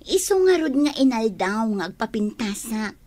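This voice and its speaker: Radio drama role: vain young Ilocano woman, haughty and dismissive, very high and widely animated. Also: moderate pace. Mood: dreamy, boastful. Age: young adult. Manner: proud, snappish, dismissive. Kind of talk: Filipino radio drama acting.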